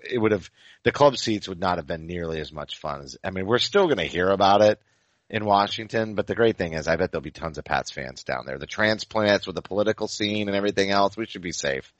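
An American man in his forties with a low-pitched voice talks as a sports podcast co-host. He is enthusiastic and chummy, with plenty of casual slang. The recording is close and dry, with no room sound. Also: expressive, brisk, no echo, muffled recording. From Maine, United States.

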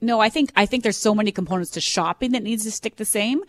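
Animated woman radio co-host. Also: mid-range voice, quick pace, dry recording, clean studio sound. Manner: animated, acts out quotes. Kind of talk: morning radio talk. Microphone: studio mic, separate room.

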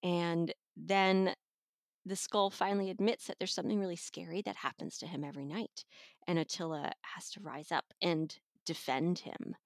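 Low-voiced woman chatting, close dry sound. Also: fairly even intonation, normal speed, no room sound. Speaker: audiobook reviewer on a podcast. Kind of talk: casual review chat.

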